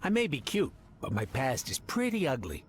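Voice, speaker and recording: A cute, guarded creature with medium pitch and lively swings, wry in its game banter, over faint ambience. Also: male voice, normal speed, slight background noise. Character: cute but guarded creature with an ugly past. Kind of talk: video game character dialogue.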